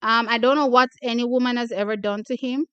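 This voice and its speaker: Young woman, from Jamaica, track channel host, smooth voice, fairly high, chatty, repeats herself, dry close sound. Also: steady tone, fairly quick, no echo, dull audio. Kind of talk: casual chat reading viewer comments.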